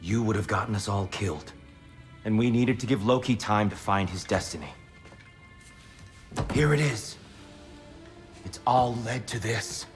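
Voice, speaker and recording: Game casting: male actor for a secretive old warrior god, low-voiced, stately and solemn. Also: over music, close to an everyday voice. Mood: cool, guarded. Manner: grave, measured, declamatory. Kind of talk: video game cutscene acting.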